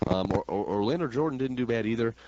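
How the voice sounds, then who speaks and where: A man's voice: mid-range voice, expressive, fast talker, heavy background noise. A man from New York State with an American accent, talking wrestling as a brash, emphatic, slangy radio host. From New York State, United States. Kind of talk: opinionated wrestling talk radio banter. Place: radio studio. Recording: dull lo-fi radio audio.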